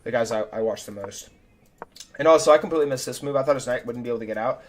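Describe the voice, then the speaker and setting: A young man, a chess streamer, talking casually and self-critically through his own game, his voice a little raspy. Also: mid-range voice, racing, a little hiss or hum. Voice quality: slightly raspy. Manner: chatty and self-critical, thinking aloud move by move. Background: faint background music.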